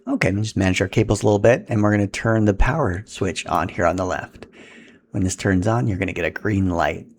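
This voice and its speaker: Low, gravelly man giving instructions. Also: fairly quick. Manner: informal, instructional, talking while handling equipment. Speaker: product setup instructor. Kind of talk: casual step-by-step tutorial.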